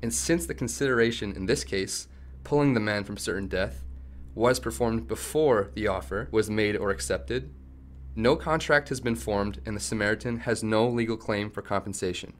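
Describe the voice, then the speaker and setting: Man acting a low-voiced, defiant captive executive. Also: moderate pace, close to an everyday voice. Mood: measured, moralizing. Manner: firm, argumentative, articulate. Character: defiant, principled male tech company chief held captive. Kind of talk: radio play acting.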